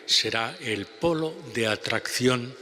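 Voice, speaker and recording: An older man with a Spanish accent preaching a homily at a lectern, slow and measured with pauses. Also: mid-range voice, sharp tone. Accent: Spanish (Spain). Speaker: priest. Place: large church basilica. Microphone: lectern gooseneck mic. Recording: long church reverb.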